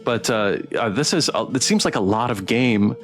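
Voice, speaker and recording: A man hosts a casual game-stream interview. His pitch is mid-range and moves around a lot, his delivery halting and appreciative. Faint music plays underneath. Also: animated. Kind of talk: casual live interview chat.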